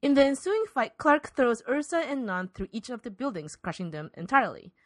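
Woman narrator reading a spoof script, pitch moves around a lot, dry close sound. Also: expressive, brisk, no echo. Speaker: podcast host and narrator. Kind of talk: deadpan scripted narration of parody radio play.

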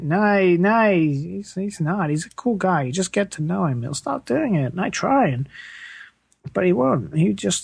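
Someone plays a plaintive space plumber in an improvised comedy interview. The voice is medium in pitch and recorded close and dry, rambling hesitantly through absurd complaints with earnest deadpan. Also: creaky, slightly rough, no room sound, full character voice. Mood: aggrieved. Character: hard-done-by, whiny working-class space plumber, earnestly absurd.

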